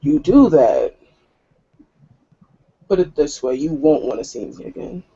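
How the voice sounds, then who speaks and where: A man's voice: a little high, sing-song, unhurried, warm tone, slightly rough, lo-fi sound, full character voice. A man playing a menacing, condescending werewolf elder in a drawling, taunting manner. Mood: taunting, threatening.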